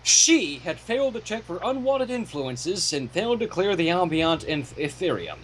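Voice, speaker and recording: A man reading a fan fiction story aloud as a dramatic, theatrical narration with deliberate delivery. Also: high-pitched, sing-song, slight background noise, poor audio.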